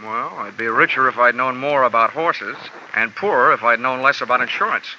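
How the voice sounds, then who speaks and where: A man's voice: medium pitch, a little fast, pushed and bright, a little hiss or hum. A man narrating as a wisecracking insurance investigator in a 1950s radio drama, with a dry hard-boiled delivery. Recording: lo-fi old radio broadcast with music.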